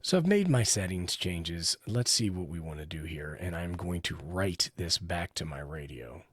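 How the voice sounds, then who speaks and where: Very deep-voiced man, crisply recorded. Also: animated, normal speed, dry recording. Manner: explanatory, talking through each step. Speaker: radio hobbyist reviewer, host. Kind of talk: casual hands-on product review narration.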